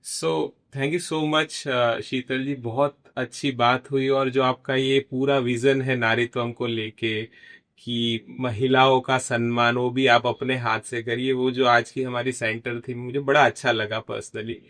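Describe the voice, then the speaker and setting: Male podcast host, mid-pitched, courteous and encouraging. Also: level tone, measured pace, fairly bright tone. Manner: polite, encouraging, mixes in English phrases. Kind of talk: podcast interview hosting. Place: podcast studio.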